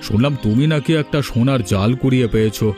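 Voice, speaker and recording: A man's voice: mid-range voice, quick pace, dark tone, soft background music, close to an everyday voice. A man voicing a greedy, scheming rich villager in a cartoon story, with an insistent, blustering manner. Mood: pressuring. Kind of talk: Bengali cartoon story voice acting.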